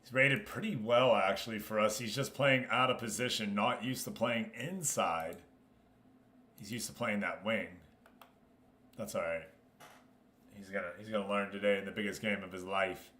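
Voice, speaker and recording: A man's voice: on the low side, normal speed, pushed and bright. A man livestreaming a football management game, chatting casually with viewers and reacting aloud as he goes. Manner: informal, reacting aloud, talking to himself. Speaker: live streamer hosting a Football Manager league. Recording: roomy, echoing sound.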